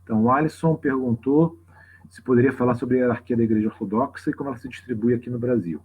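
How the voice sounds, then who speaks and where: A man with a husky voice and a Brazilian Portuguese accent, explaining things informally and off the cuff to a livestream audience, often checking in with tag questions. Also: medium pitch, fast talker, a little mellow. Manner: conversational, frequent tag questions. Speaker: religious teacher hosting a live open class. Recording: slightly dull lo-fi livestream audio.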